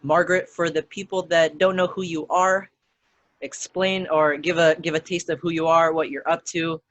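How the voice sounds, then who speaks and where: A young man hosts a casual podcast, friendly but hesitant, often restarting his sentences. His voice sits a little high for a man. The sound is dry and close, with no room around it. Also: level tone, moderate pace, a bit bright, dry recording, muffled recording. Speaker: podcast host.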